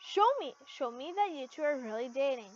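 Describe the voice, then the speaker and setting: A woman's voice: high-pitched, animated, moderate pace, a little mellow, faint music behind. A young woman voicing a hurt, sceptical teenage girl in a story reading, emotional and challenging. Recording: dull, lo-fi home recording.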